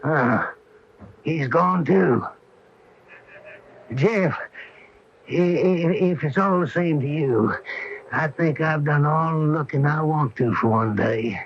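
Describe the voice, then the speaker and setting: An actor playing an excitable, good-natured small-town handyman in a 1980 radio drama. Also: on the high side, takes it slowly, pauses often. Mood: spooked. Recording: dull, hissy 1980 AM radio broadcast.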